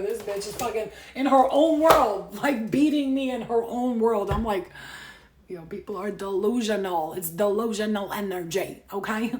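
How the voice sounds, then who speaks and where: Thirty-something woman doing a live tarot chat, cussing a lot, gets loud then goes soft and sincere. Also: a little high, a little hiss or hum. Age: 30s. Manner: brash, profane and animated, swinging between loud rants and soft earnest asides. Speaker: tarot reader and spiritual healer. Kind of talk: casual live-stream chat to viewers.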